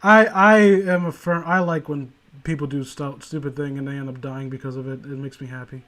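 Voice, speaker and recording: A man with a voice pitched a little high, chatting casually as a comedic co-host. He rambles sardonically and swears freely. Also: fairly even intonation, brisk, fairly bright tone. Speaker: podcast co-host reading and mocking amateur horror stories.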